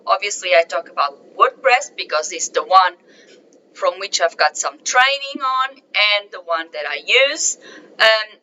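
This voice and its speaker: A woman hosting a weekly meeting for translators, talking casually with many ums and uhs, a little hoarse from allergies and clearing her throat now and then. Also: medium pitch, animated, a little slow, pushed and bright, slight background noise. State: slightly hoarse from allergy, coughing. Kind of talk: casual solo talk to camera. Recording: dull lo-fi sound.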